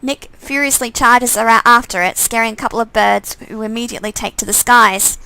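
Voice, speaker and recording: A woman narrates a story game in a high voice with a noticeable creak. She reads haltingly, tripping on words and going back to fix them, in a dry, close-sounding recording. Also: bright, forceful tone, no echo, low-quality recording. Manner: halting, stumbles over words and corrects herself. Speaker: streamer reading a visual novel aloud. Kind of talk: live read-aloud narration with character dialogue.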